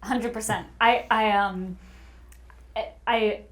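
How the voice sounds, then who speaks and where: A woman's voice: medium pitch, fairly even intonation, slow pace, a bit bright. A woman who works as a voice actor, talking earnestly in a casual panel chat.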